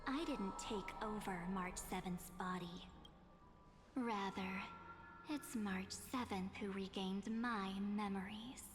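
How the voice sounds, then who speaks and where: A woman's voice: mid-range voice, slow pace, lightly acted. A voice actress playing a composed, enigmatic woman who taunts coolly in a video game. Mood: calm, taunting. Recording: long reverb under game music.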